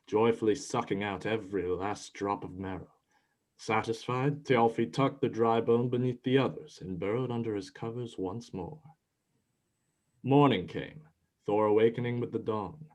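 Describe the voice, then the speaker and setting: Low-voiced male storyteller. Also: normal speed. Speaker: narrator. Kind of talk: scripted storytelling narration.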